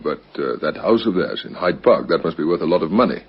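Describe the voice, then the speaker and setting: Radio crime drama detective captain: deep, rough-edged voice, polite and probing, dull vintage sound. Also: male voice, lively intonation. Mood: probing, businesslike. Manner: businesslike, probing, measured politeness. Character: male police captain, probing, businesslike investigator.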